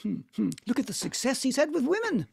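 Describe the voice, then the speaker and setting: A man's voice: extremely high pitch, wildly swooping pitch, a little fast, creaky, slightly rough, exaggerated voice. A man reading the part of a bitter, envious, sardonic middle-aged man in an amateur stage play. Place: at home on a video call. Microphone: video call microphone.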